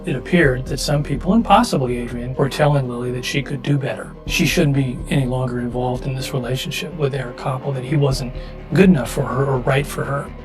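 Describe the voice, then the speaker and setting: Guy being interviewed for a doc, medium pitch, careful wording, clear music under. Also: steady tone, a little fast, low-quality recording. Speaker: documentary interviewee. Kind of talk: interview recollection.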